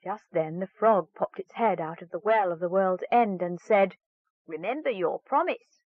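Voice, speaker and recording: A woman's voice: on the low side, normal speed, no echo. Woman storyteller, thin phone-like sound. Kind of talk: fairy tale narration, read aloud. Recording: narrow, phone-like band.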